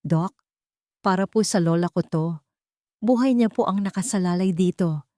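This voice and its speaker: A woman's voice: on the low side, normal speed, mellow, dry recording, studio quality, natural voice. Woman voicing a desperate teenage boy, pleading politely. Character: desperate, determined teenage grandson pleading for help.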